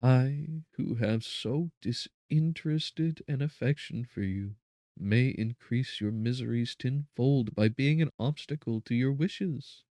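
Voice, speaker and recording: A man's voice: medium pitch, slow, dark tone, no echo, pitched above the performer's own voice. A man voicing a devoted, anxious young woman in an earnest, pleading dramatic audiobook reading.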